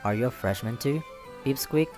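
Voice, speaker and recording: A man's voice: medium pitch, normal speed, darkish tone, natural voice. A man voicing a big, grinning young tiger in a visual novel, brash and teasing. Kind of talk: visual novel character read aloud. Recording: music playing behind the voice.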